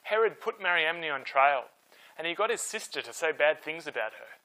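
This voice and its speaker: A man tells history as a storytime host, with a bright, forceful voice sitting slightly high. His intonation swings widely, and the scripted narration stays conversational and emphatic. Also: animated, moderate pace, low-quality recording.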